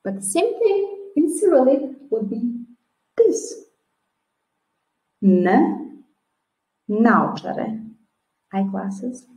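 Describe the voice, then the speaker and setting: A woman with a Serbian accent talks to her webcam from a small room at home. She goes slowly and pronounces each word with care, like a teacher modelling for beginners. The room throws back a noticeable echo. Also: fairly high pitch, big pitch swings, mellow. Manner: slow and clear, with careful word-by-word modelling. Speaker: language teacher. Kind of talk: teacher's introduction video. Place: small room at home, classroom posters behind. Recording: echoey room.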